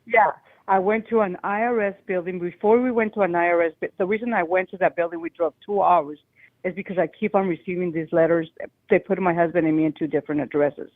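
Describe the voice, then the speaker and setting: Woman caller, loud voice, rambling and agitated, tinny phone line, dry sound. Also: fairly low pitch, fairly even intonation, quick pace, dry recording. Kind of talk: call-in show phone call.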